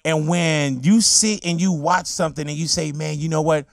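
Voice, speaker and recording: Radio host from LA, excitable and slangy, slightly raised voice, dry studio sound. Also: male voice, a little high, moderate pace, creaky, no echo. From Los Angeles. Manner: enthusiastic, slangy, emphatic. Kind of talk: casual interview chat. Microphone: studio microphone.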